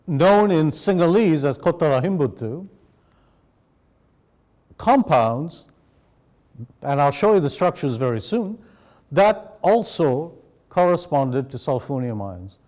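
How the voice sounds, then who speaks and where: A man raised in Sri Lanka giving a measured, explanatory university lecture to an audience. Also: on the high side, a little mellow. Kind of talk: academic lecture.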